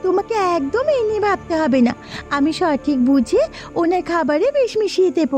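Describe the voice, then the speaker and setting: A woman's voice: extremely high pitch, expressive, brisk, darkish tone. A woman playing a bandit's questioning, complicit wife in a Bengali cartoon. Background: background music.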